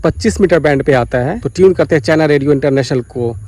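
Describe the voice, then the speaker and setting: Mellow-voiced man presenting a tutorial vlog. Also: fairly high pitch, quick pace, no room sound. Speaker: vlogger presenting a radio tutorial. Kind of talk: explanatory vlog commentary while demonstrating. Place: outdoors beside farm fields.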